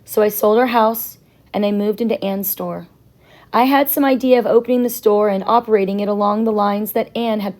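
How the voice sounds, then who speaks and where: A woman voicing a quiet, reserved, sorrowful older male professor in a children's novel, hesitant and reflective. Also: fairly high pitch, close to an everyday voice. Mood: resigned.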